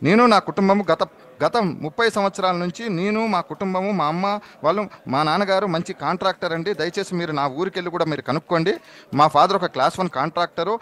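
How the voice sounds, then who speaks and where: Very fast-talking, insistent high-voiced man. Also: fairly bright tone. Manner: insistent, repetitive, polite pleading. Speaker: phone-in guest giving his side. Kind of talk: live phone interview, explaining. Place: on a phone call to a live TV show.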